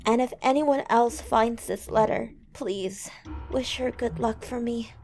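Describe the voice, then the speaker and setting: A woman plays a wistful schoolgirl voicing a farewell letter. Her voice is on the high side and soft. She is earnest and sentimental, in dramatic game acting. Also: measured pace, faint music behind. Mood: wistful, hopeful.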